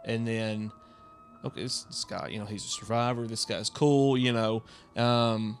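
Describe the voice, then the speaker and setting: A man's voice: lowish voice, steady tone, unhurried, smooth. A man co-hosting a podcast, talking a story through in a conversational, explanatory way. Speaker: podcast co-host. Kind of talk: casual discussion of a story. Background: faint music.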